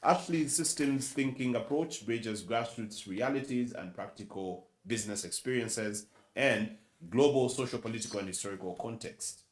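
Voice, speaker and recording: A man from Uganda with a Luganda-influenced accent, moderating a press conference and formally introducing panelists with a measured delivery and some fillers. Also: mid-range voice, reverberant, poor audio. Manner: measured, reads bios with fillers.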